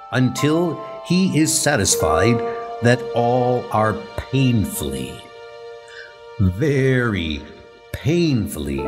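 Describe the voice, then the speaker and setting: Slow, dramatic male storyteller over music. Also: fairly low pitch. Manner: deliberate, theatrical pauses. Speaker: narrator. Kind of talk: dramatic scripted story narration.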